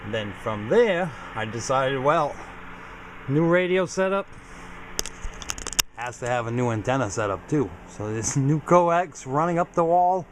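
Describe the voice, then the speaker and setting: A man's voice: mid-range voice, animated, a little slow, noisy background. A man giving a casual, informal equipment walkthrough as a hobbyist video host, explaining things plainly. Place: home garage.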